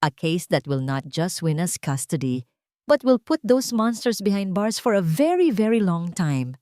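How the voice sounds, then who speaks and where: A woman voicing a calm, steely male billionaire who gives deliberate, commanding orders in a dramatic story. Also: on the low side, no echo, clean studio sound. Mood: cold resolve.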